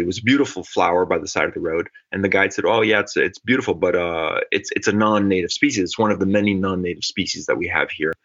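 Middle-aged ecologist guest, low voice, reflective and measured, dull lo-fi recording. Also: male voice, a bit bright, husky. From California. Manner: thoughtful, measured, with restarts. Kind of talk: conversational podcast interview. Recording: dull lo-fi audio.

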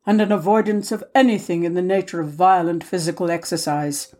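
A woman narrator speaks as a nervous storybook gentleman. He is earnest and self-absorbed, fussing over each point he makes. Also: lowish voice, steady tone, brisk, lightly acted. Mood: earnest, fussy. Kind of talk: storybook character voice in audiobook reading.

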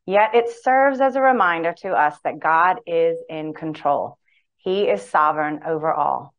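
A woman's voice: low voice, unhurried, dull audio. A woman speaking earnestly and candidly as she teaches a women's Bible study in a church hall. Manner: earnest, candid, reads long quotes aloud.

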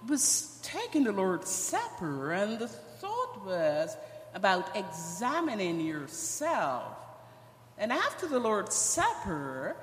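An older woman with a Guyanese accent, giving a personal testimony to a congregation in a measured, halting way with deliberate pauses. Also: mid-range voice. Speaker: church guest speaker sharing personal testimony. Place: church stage in a large room. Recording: echoey church hall with long reverb.

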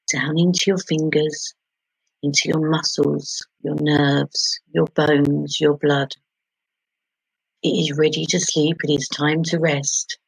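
A woman leading a guided sleep meditation in a soothing, gentle, hushed manner, pausing between short phrases. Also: deep voice, creaky, husky, dry recording, low-quality recording. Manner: soothing, hushed, gentle instruction with long pauses.

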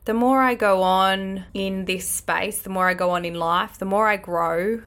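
A woman with an Australian accent hosting a podcast, talking in an earnest, slow and deliberate way. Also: medium pitch, a bit bright, smooth. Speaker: podcast host. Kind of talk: reflective solo podcast talk.

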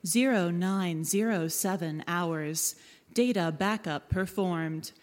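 A woman playing an impassive ship's artificial intelligence in a live science fiction audio drama, reading out data logs in a slow, measured, robotic way. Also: lowish voice. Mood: flat, impassive. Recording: echoey hall, live stage.

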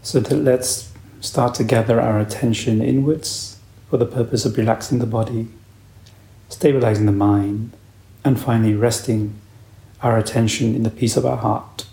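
A man leading a guided meditation as a teacher, calm, unhurried and soothing in his instruction. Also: medium pitch, gravelly, roomy sound.

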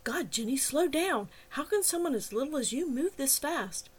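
A woman's voice: high-pitched, animated, normal speed, voice pushed up. A woman voicing a persistent, teasing male police officer in an audiobook, in a coaxing, persuasive manner. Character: persistent, teasing male cop, cajoling and reasonable. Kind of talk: audiobook character dialogue.

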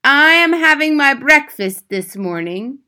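A woman's voice: sits high, expressive, sharp tone, voice pushed up, full character voice. A woman voicing a cruel, furious grand witch from a storybook, screaming imperiously and drawing out her words. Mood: screeching fury. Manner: screaming, imperious, slow drawn-out emphatic words. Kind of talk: dramatic storybook character voice.

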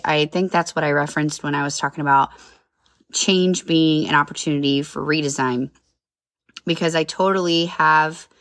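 This is a woman in her thirties chatting on her own podcast, upbeat and full of side notes. Her voice sits low, and a cold leaves it a little nasal and congested. The sound is dry and close, with no room around it. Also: a bit bright, no room sound. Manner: chatty, upbeat, rambling with asides. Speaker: podcast host. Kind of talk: casual solo podcast chat.

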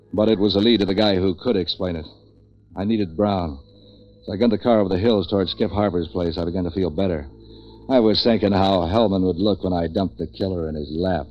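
An actor playing a tough, sardonic man for hire with an American accent, clipped and deadpan, in 1940s radio drama style. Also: low voice, lightly acted. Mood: brooding narration. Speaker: lead actor and narrator. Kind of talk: 1940s hardboiled radio acting. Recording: muffled lo-fi 1940s radio recording.

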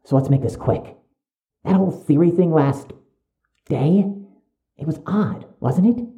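An actor playing a suspicious young medical student in an audio drama, speaking with precise, urgent, stiffly formal wording. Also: male voice, a little high, a little slow, mellow. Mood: urgent, insistent.

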